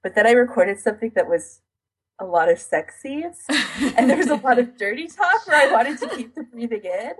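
A woman's voice: on the high side, very animated, moderate pace, husky, poor audio. A woman co-hosting a casual podcast, chatting and explaining her routine in detail in an informal way. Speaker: podcast co-host.

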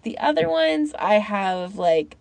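A woman's voice: medium pitch, big pitch swings, takes it slowly, gravelly, darkish tone, dry recording. A woman co-hosting a podcast, talking in a casual conversational discussion. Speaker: podcast host.

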